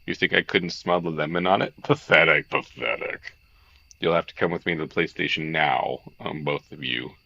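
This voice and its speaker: A man's voice: a bass voice, moderate pace, creaky, pushed and bright, highs cut off, exaggerated voice. A man voicing a big, suspicious male dragon in a game read-along, accusatory and threatening. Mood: accusing, scornful.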